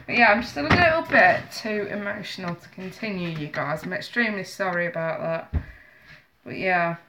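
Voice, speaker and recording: Woman, 30s, tarot streamer; stuffy nose, bright edge, chatty and apologetic, webcam at a home desk. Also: mid-range voice, normal speed, a little hiss or hum. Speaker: tarot reader streaming live. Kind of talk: casual live-stream chat. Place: small home office in the evening.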